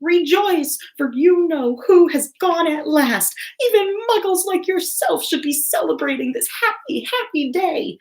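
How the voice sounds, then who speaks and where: A woman voicing a tiny, gleeful old man in a squeaky, effusive storybook voice. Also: expressive, unhurried, hardly any pauses, exaggerated voice, rough recording. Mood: overjoyed. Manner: effusive, exclamatory.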